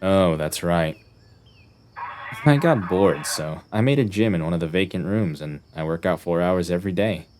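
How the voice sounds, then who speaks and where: A man playing an aloof, eccentric young detective in an anime dub, with a deadpan, theatrical delivery and a weary air. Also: sits low, moderate pace, a little hiss or hum, natural voice. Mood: casual.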